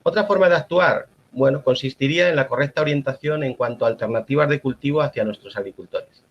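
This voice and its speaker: A man from La Mancha with a Spanish accent giving a formal, measured conference talk as an agronomist. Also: medium pitch, dull audio. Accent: Spanish (Spain). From La Mancha, Spain. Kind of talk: prepared conference presentation.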